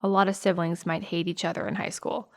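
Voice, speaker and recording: A young American woman playing a sympathetic, earnest high school girl recalling a friend in a naturalistic audio drama. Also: little pitch movement, brisk, natural voice. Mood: fond, recounting.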